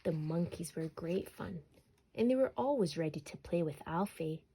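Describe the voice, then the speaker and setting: A woman storyteller narrating a children's bedtime story expressively, sometimes dropping to a hush. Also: lowish voice, animated, normal speed, slight background noise.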